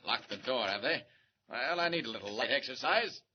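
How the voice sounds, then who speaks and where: An actor playing a calm, wry male reporter who is secretly a superhero, in a 1940s radio serial. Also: mid-range voice, a little fast, lightly acted. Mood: determined. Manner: calm, wry and self-assured. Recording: muffled old radio recording with hiss.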